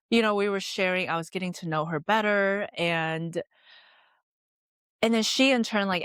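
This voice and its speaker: A woman in her late twenties, born and raised in Taiwan, talks through her past in a loose, chatty podcast voice, leaning on "like" as she reflects. Her voice sits a little low for a woman. The recording is dry and close, with no room sound. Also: a bit bright, dry recording. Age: late 20s. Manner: chatty, frequent "like" fillers, reflective. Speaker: podcast host. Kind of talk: casual personal storytelling.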